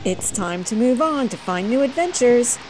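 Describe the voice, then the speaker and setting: A middle-aged woman narrating a sailing vlog in a relaxed, lively voice-over. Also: on the high side, normal speed, low-quality recording. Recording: voice-over with loud music behind.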